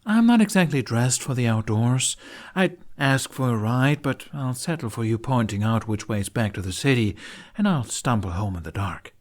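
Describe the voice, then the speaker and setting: A man's voice: medium pitch, expressive, moderate pace, lightly acted. A man voicing a flippant, defiant young man with a sarcastic manner in an audiobook.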